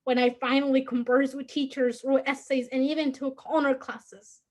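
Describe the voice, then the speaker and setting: A teenage student from Guatemala, a native Spanish speaker with a Guatemalan Spanish accent, reading her personal prose aloud in an earnest, measured way. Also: high voice, flat delivery, a little mellow. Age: late teens. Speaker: student reader.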